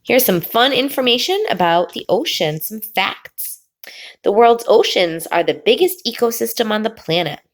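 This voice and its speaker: A woman teacher reading a picture book aloud to children, pausing before key words and explaining the pictures. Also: on the high side, lively intonation. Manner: slow, drawn-out reading with sing-song pauses before the last word.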